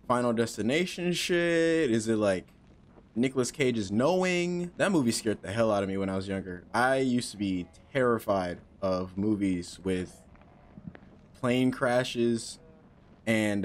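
A young man in his twenties streaming a horror game on a headset mic, joking nervously and talking to himself. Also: mid-range voice. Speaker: gaming streamer. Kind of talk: casual horror game commentary.

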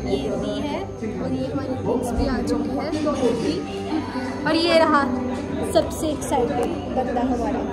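A woman's voice: sits high, unhurried. A young woman teasing and chatting to camera, mixing English into her talk. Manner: playful, teasing, mixes English in. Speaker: vlogger's sister. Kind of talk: casual chat to camera. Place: busy dim restaurant. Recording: noisy phone recording in a loud restaurant. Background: loud music and crowd.

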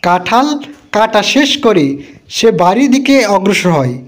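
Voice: mid-range voice, sing-song, unhurried. A narrator reading a scripted children's story in Bengali cartoon style. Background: music.

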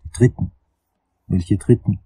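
A man playing a brusque, impatient private investigator in a crime radio play, curt and blunt, with a husky voice. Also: a little slow, dark tone, lightly acted.

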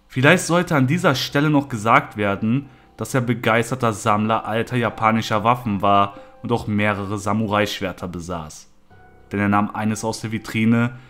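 A man's voice: lowish voice, fairly bright tone. A man narrating a scripted horror story, acting out bits of dialogue as he goes.

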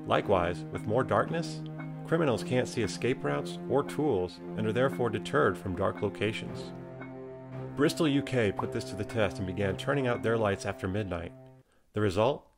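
A man's voice: fairly low pitch, expressive, normal speed, gravelly. A man with an American accent reading scripted documentary narration. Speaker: narrator and nature photographer. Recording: music behind. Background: music.

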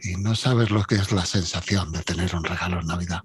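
Man, very husky low voice, excitable roleplay, faint music. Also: brisk. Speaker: player. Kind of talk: in-character roleplay.

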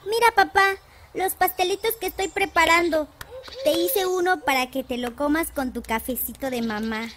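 Very high little village girl. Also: normal speed, fairly bright tone, slight background noise. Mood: cheerful. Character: playful, pleading six-year-old village girl. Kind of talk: audio drama acting.

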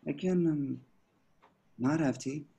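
A man's voice: on the high side, slow, creaky, dark tone, slightly rough, heavily acted. A man reading the part of an awkward, smitten young slacker in an amateur table read, stammering and hesitant. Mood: shy, earnest. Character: awkward, smitten, dim young male slacker, nervously earnest. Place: online group video call.

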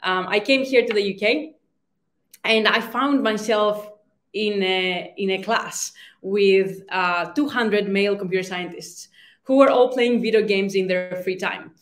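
A woman's voice: mid-range voice, measured pace. Woman speaking in an echoey room. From Greece. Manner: hesitant, frequent ums and restarts. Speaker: startup founder giving a talk. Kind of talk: conference presentation. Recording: roomy reverb.

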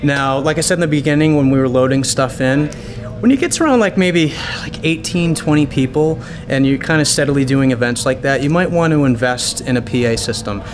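A man in his thirties talks through a training lesson in a casual, conversational style. His pitch sits in the middle of the male range and his delivery is informal and a little rambling. Music is clearly audible behind him. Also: brisk. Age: 30s. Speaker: paint party business owner giving training. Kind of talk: casual instructional talk to camera. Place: small restaurant dining room, indoors.

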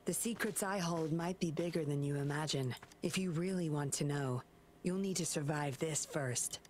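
An actress voicing a sly, teasing young puppeteer woman in a game, low and smooth. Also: normal speed, darkish tone, slight background noise, close to an everyday voice. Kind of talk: game voice acting.

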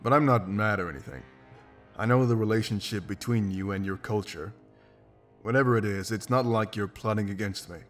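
An actor playing a confident, pragmatic wolf mercenary in a video game, blunt and self-assured. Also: male voice, on the low side, a little fast, a bit bright, natural voice. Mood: wry. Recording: music behind. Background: game music.

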